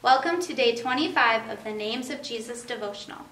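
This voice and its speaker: A woman in her thirties hosting a family devotional, reading clearly and steadily in a living room. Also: a little high, a little fast, fairly bright tone. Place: living room by a Christmas tree, indoors. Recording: roomy, distant camera sound.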